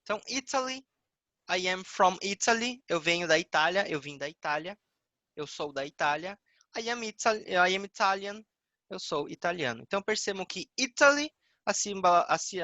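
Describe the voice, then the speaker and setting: A male English teacher with a Brazilian Portuguese accent, explaining a lesson patiently and repeating words slowly. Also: high-pitched, expressive, bright, forceful tone, no echo.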